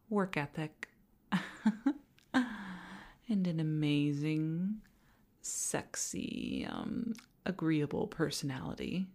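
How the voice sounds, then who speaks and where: A woman playing a flirtatious, possessive office boss in an intimate audio roleplay, coy and halting, sometimes slipping into breathy tones. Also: sits low, a little mellow, heavily acted. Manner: coy, halting, giggly, often dropping to breathy whispers.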